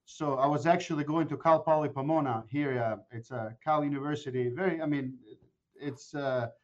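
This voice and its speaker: A man in his forties, a guest mortgage broker, chatting casually in an interview and telling animated stories with many restarts. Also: a little high, normal speed, muffled recording. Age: 40s. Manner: animated storyteller with frequent restarts.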